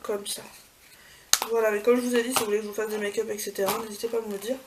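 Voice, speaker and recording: A woman's voice: mid-range voice, flat delivery, racing. A woman vlogging a casual beauty haul, chatty, with many fillers and self-corrections. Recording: far from the mic, clear background noise.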